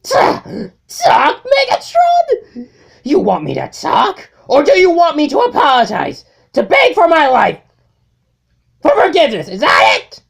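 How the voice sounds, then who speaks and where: A woman's voice: high-pitched, wildly swooping pitch, unhurried, creaky, pitched above the performer's own voice, full character voice. A woman voicing a resentful, wounded robot lieutenant in a comic dub, strained, pleading and melodramatic. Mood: mocking, wounded. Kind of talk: comic dub dramatic acting.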